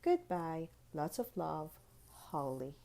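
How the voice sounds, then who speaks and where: A woman's voice: deep voice, sing-song, slow pace, creaky, dark tone, heavily acted. A woman reading the letters of a polite, clever little girl in an earnest, chatty storybook manner. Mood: warm, grateful.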